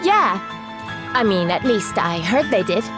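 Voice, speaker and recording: A woman's voice: medium pitch, sing-song, a little slow. An actress playing a sensible young woman adventurer in a video game, casual and matter-of-fact. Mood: frank, curious. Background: loud music.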